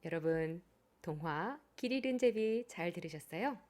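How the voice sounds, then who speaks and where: A woman's voice: lowish voice, moderate pace. A woman professor reading a children's story aloud and then explaining it with hesitant fillers. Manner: gentle expressive reading, hesitant fillers in commentary.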